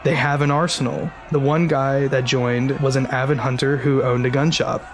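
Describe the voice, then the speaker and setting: Earnest mid-pitched male stranger played by a man. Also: steady tone, moderate pace, a faint crowd, natural voice. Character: earnest male stranger backing a rebel cause. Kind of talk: audio drama dialogue.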